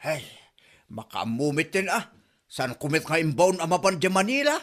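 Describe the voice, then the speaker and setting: A man's voice: fairly high pitch, very animated, normal speed, a bit bright. A man with an Ilocano accent playing a grumbling villager in a comedy drama. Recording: faint background music.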